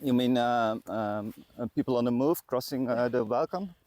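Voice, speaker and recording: A man's voice: medium pitch, moderate pace, a little mellow, no echo. A man hosting a casual interview, asking questions tentatively and listening along. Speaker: podcast host and interviewer.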